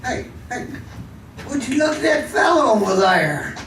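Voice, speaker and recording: An actor playing an excitable, folksy frontier sidekick with a rural American accent, stammering and repeating his words in a radio western. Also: extremely high pitch, measured pace, exaggerated voice. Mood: excited, amused. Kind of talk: old-time radio western acting. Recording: echoey, distant, noisy old radio recording.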